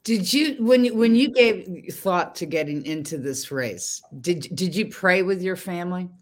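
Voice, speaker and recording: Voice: mid-range voice, animated, a little slow. A show host asking long, emphatic questions in a political interview.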